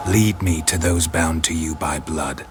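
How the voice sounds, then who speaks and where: Gruff, low-voiced deadpan monster hunter. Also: male voice, a little slow, background noise. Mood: solemn incantation. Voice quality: low, gravelly, husky. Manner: terse, deadpan, clipped. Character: gruff, weary, stoic monster-hunter man, terse and deadpan. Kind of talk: video game voice acting.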